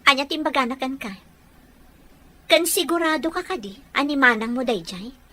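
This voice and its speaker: A woman's voice: high voice, lively intonation, moderate pace, pushed and bright, heavily acted. A woman playing an earnest, persistent young girlfriend in an audio drama, insistent in how she speaks. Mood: suspicious, insistent. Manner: insistent, probing.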